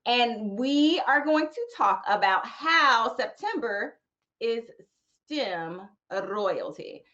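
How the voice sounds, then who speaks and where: A woman hosting an interview show, playful and fond of wordplay, asking lively questions. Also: a little high, takes it slowly, lo-fi sound. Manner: bubbly, wordplay-loving, animated. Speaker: host.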